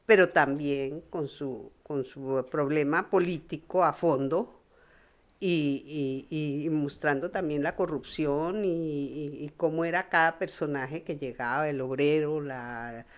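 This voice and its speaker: A woman with a slightly low voice. She explains in a conversational way, building her account in small pieces. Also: slow.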